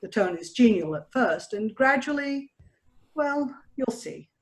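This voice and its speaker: A woman's voice: on the high side, lively intonation, measured pace. A woman presenting a program, reading a scripted introduction. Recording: roomy online video call sound.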